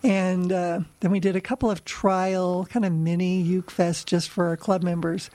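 A woman with a lower-pitched voice chats easily in an interview. She explains things in a relaxed way and tells anecdotes, often laughing between them. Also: normal speed. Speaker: ukulele festival organizer. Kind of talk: casual interview chat.

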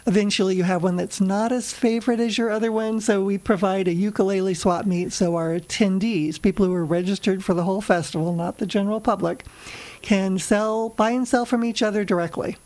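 An American woman with a low voice, talking casually and telling little anecdotes as an interview guest who organizes a club. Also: fairly even intonation, brisk, a little mellow, poor audio. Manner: chatty, anecdotal.

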